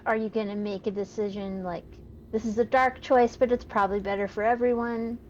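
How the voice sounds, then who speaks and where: A woman's voice: medium pitch, steady tone, a little fast. A woman co-hosting a game stream, talking casually over voice chat. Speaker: co-host, fellow gamer. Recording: dull lo-fi voice chat with noise.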